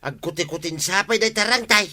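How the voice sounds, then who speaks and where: An older ilocano villager with sore joints, very bright voice, kinda high, radio comedy. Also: male voice, brisk, slight background noise. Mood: amused. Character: older village man with rheumatism, shy. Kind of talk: 1950s-style radio comedy acting.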